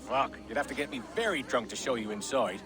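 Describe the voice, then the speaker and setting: A man's voice: mid-range voice, brisk, close to an everyday voice. A man playing a drunken, bitter and boisterous glassmaker in a video game, rambling and theatrical. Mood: bitter grumbling. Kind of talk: video game character dialogue. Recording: music behind the voice.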